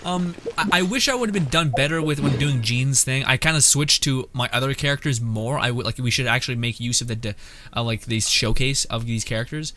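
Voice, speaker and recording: A man's voice: mid-range voice, fairly quick, sharp tone, slight background noise. A young man giving casual gameplay commentary as a streamer, rambling, jokey and slangy. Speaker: gaming streamer. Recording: game music behind the voice.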